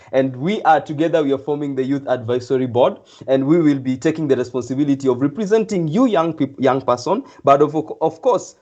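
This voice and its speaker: A man's voice: mid-range voice, dark tone, highs cut off. A young man hosting a live online event, enthusiastic and rambling with many fillers. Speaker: event host.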